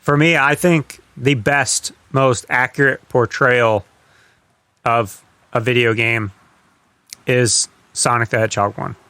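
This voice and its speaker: A man's voice: mid-range voice, steady tone, slow, pushed and bright, clean tone, no room sound. A man co-hosting a podcast, chatting casually with wry profanity and acting out imagined quotes. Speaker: podcast co-host.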